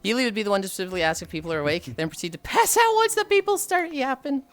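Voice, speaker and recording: Tired, sardonic woman streamer, lively pitch. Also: rapid-fire. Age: 30s. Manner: sighing, sardonic, swears freely. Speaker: livestreamer. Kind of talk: casual stream chat.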